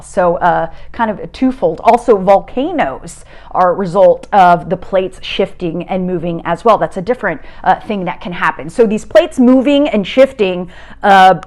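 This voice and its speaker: A middle-aged woman from South America, a TV meteorologist giving a casual science lesson from home, chatty and upbeat with frequent filler "uh"s and restarts. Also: medium pitch. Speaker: TV meteorologist teaching a kids' lesson.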